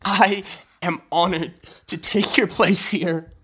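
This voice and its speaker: A man's voice: sits high, fairly even intonation, pushed and bright, husky, heavily acted. Earnest, tender young man, played by an actor. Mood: earnest, heartfelt. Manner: earnest, halting, emotional. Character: self-sacrificing young groom-to-be, tender, resolute male friend. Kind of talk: dramatic audio drama acting.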